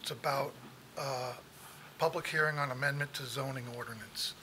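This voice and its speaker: Male resident at the public mic: halting and unsure, bright tone, faint room noise. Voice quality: low voice. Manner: hesitant, halting, many fillers. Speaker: resident speaking at public comment. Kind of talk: public comment at a meeting.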